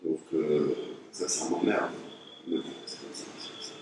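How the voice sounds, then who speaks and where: Low-voiced man, frank and halting. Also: wildly swooping pitch. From Tunisia. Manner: halting, many restarts, blunt and frank. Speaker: artist being interviewed. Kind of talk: casual conversation. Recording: echoey, distant mic, noisy background.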